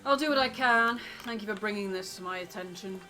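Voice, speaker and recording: A woman's voice: medium pitch, brisk, bright, forceful tone, faint music behind, close to an everyday voice, low-quality recording. A woman playing a practical first mate of a polar ship in a game, terse and matter-of-fact. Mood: level, practical. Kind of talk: character voice acting in a game playthrough.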